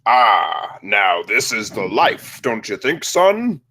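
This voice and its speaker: Man playing a breezy dad with swooping pitch. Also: very animated, slow, pushed and bright, full character voice. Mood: contented. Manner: casual, hesitant, brushing off bad news. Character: breezy, sheepish father in his fifties, space captain. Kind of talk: animated comedy script table read.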